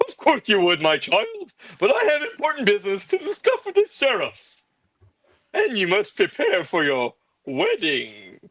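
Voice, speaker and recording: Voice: mid-range voice, huge pitch swings, moderate pace, a bit bright, dry recording, heavily acted. A performer voicing a pompous, haughty medieval nobleman in a comedic script read, with a theatrical, blustering delivery. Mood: commanding.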